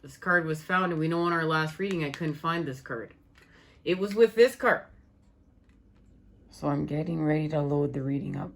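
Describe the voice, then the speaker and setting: A woman giving a spiritual tarot reading, her voice worn and fading, speaking in slow, soothing, repetitive phrases. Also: low voice. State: losing her voice. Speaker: tarot reader.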